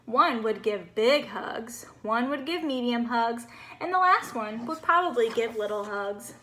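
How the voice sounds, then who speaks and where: A woman voicing a cheerful, naive little male snowman who tells his storybook tale in a bubbly, expressive way. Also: a little high, unhurried, slight background noise. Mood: chatty, innocent.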